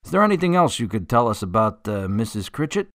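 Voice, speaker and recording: Man playing polite no-nonsense cop in radio play, lowish voice that moves a lot, direct. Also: very animated, fast talker, fairly bright tone, no echo, clean studio sound. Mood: businesslike. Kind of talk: radio drama acting.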